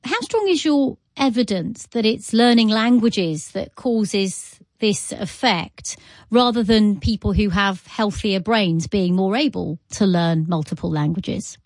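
A woman's voice: mid-range voice, lively intonation, a little slow, dry recording. A woman presenting a radio news programme, reading scripted links and putting questions to guests.

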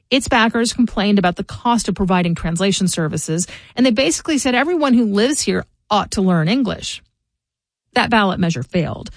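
A middle-aged woman hosts a local news podcast and reads scripted commentary in a measured, informative way. Her voice sits in a middle range. The recording is close and dry, with no room sound. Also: medium pitch, no echo. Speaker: podcast host.

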